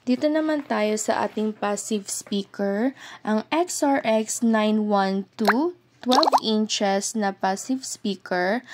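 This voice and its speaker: Slow-paced Filipino woman presenting products. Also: mid-range voice, a little mellow. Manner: addresses viewers directly, explanatory. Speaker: product presenter for an audio brand. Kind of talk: product update video presentation, semi-scripted.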